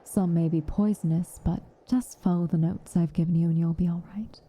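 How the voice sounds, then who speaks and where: A woman playing a stern but caring witch in an ASMR roleplay, speaking softly and with measure, dryly commanding and often slipping into a whisper. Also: lowish voice, fairly even intonation, moderate pace, close to an everyday voice. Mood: protective, soothing. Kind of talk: ASMR roleplay, intimate acted monologue.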